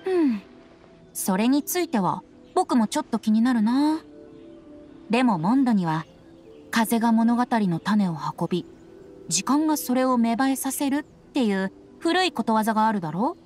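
A playful teenage bard boy from an anime game, acted by a woman. He speaks in a high, youthful voice with a carefree, breezy manner, over faint music. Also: expressive, moderate pace, darkish tone, natural voice. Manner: breezy, playful, self-admiring. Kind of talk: anime game dialogue acting.

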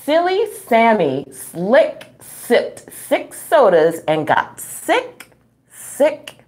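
A middle-aged woman reading a children's book aloud at home, expressive and playful, with chatty asides to young listeners. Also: on the high side. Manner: expressive, playful, drawn-out reading, frequent chuckles and gasps. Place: small room at home.

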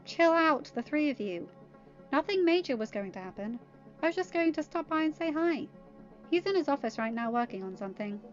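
A woman's voice: high voice, expressive, fairly quick, highs cut off, faint music behind, pitched above the performer's own voice. Woman voicing a scoffing, sardonic teenage girl. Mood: exasperated. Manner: scoffing, dry.

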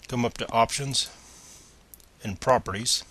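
A man's voice: mid-range voice, measured pace, pushed and bright. A man presenting a step-by-step screencast tutorial in a plain, methodical, instructional manner.

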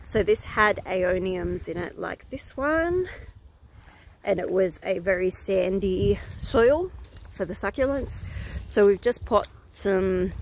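Relaxed woman filming her garden. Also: medium pitch, slow pace, a little hiss or hum. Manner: relaxed, thinking aloud with many ums. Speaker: gardening vlogger. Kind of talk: casual garden walkthrough. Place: outdoor rural garden. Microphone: phone held at arm's length.

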